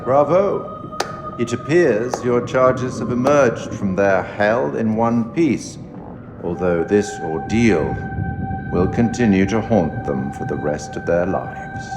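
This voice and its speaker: Urbane ominous tale keeper, coolly amused, formal, theatrical, reverberant, music underneath. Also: male voice, on the low side, expressive. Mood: amused, courtly. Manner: measured, ominous, wry, formal and courteous. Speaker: curator, narrator of a horror game. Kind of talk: theatrical scripted game monologue. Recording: echoey long reverb, music behind. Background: orchestral music.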